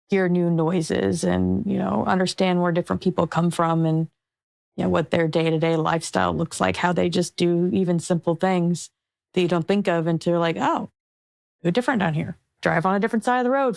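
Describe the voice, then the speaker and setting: A woman's voice: on the low side, fairly even intonation, moderate pace, gravelly, a little mellow, no echo, clean studio sound. A woman hosting a podcast, chatting in an enthusiastic, upbeat way. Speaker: podcast host. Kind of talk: casual interview conversation.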